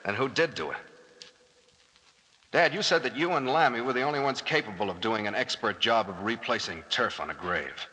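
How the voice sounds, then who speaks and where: An actor playing a commanding, wry, tough captain who gives clipped orders and wisecracks in old-time radio style. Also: male voice, mid-range voice, animated, moderate pace, pushed and bright. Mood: probing, low. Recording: noisy old radio recording with clicks.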